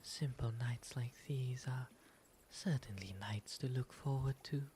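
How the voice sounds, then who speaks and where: A man plays a courteous, soft-spoken owl demon gentleman in an intimate ASMR roleplay. The breathy, half-whispered voice sits at a medium pitch and moves slowly through polite, soothing phrases. A faint ambient noise runs behind it. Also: close to an everyday voice. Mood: serene, soothing.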